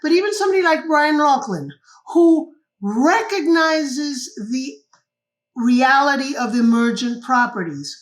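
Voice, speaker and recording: A woman's voice: high voice, lively intonation, measured pace. An older woman from Cuba, a guest author explaining ideas in an interview and often checking in with "correct?".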